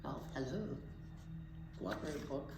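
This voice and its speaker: A woman reading a picture book aloud to young children as a storytime teacher, expressively, with deliberate pauses and little asides. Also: extremely low pitch, expressive, gravelly, loud music behind the voice. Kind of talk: animated picture book read-aloud for preschoolers. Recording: roomy echo, faint background noise.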